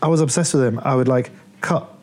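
A man's voice: mid-range voice, fast, darkish tone. A man, an artist guest, talking casually and rambling with many restarts about his life. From England, born Plymouth, raised Leeds. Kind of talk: casual conversation.

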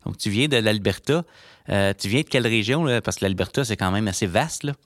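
A man's voice: fairly low pitch, animated, a little fast, dry recording, studio quality. A man hosting an interview podcast, asking questions in a friendly, informal way with fillers. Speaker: podcast host. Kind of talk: scripted intro, then casual interview.

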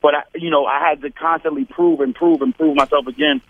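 An easygoing man chats over a thin, tinny phone line. His voice sits a little high for a man. The sound is dry, with no room around it. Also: fairly even intonation, fast, dry recording. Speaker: guest rapper calling in. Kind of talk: casual phone-in interview.

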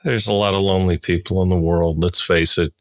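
A man's voice: low voice, fairly even intonation, brisk, no echo, studio quality. A man hosting a podcast interview in a casual, laid-back and blunt way.

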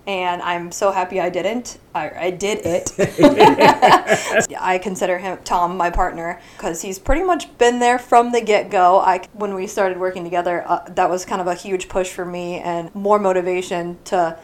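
A woman's voice: lowish voice, measured pace. A woman in her thirties from Southwest Florida, a business owner answering interview questions in a friendly way and laughing often.

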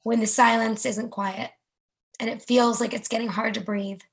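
A woman's voice: fairly high pitch, steady tone, moderate pace, vocal fry. A woman performing earnest spoken verse with building intensity over a video call.

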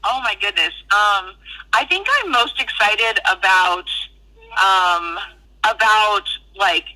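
A woman's voice: medium pitch, takes it slowly, pushed and bright, low-quality recording. A woman chatting casually and in a friendly way over a speakerphone call from a car. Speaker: parishioner phoning into podcast. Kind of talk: casual phone chat. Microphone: phone call on car speakerphone.

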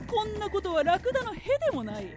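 A woman's voice: extremely high pitch, sing-song, fast talker, over music. A woman acting a brash, proud, scheming head of a trading company in a radio drama, with imperious, blunt phrasing. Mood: proud, indignant.

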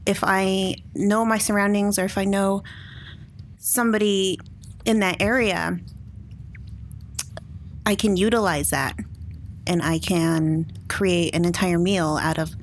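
A woman talking casually as a podcast guest, soft-spoken and quick to laugh. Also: mid-range voice, fairly even intonation, a little hiss or hum. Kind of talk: casual chat.

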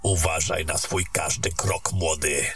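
A man playing a calm, seasoned wizard mentor in a fantasy audio drama, speaking in measured, terse instructions with a husky voice. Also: very deep voice, soft background music, exaggerated voice. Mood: wary, guarded.

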